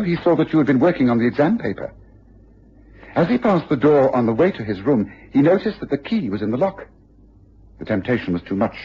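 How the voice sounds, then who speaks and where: Sharp detective in a radio play, really varied pitch, composed and commanding, bit husky, dull old broadcast sound. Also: male voice. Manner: deliberate, commanding, precise. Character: keen, composed male English detective, probing and authoritative. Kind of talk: radio drama acting.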